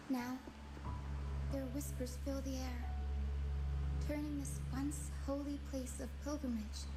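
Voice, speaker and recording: A woman's voice: sits high, background noise. A woman playing a friendly, caring young female performer in a video game, earnest and storyteller-like with playful flourishes. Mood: earnest, explaining. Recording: music behind the voice.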